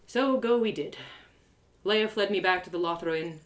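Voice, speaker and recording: A woman reading a first-person fantasy story aloud in an expressive, theatrical and lyrical way. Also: on the low side, moderate pace.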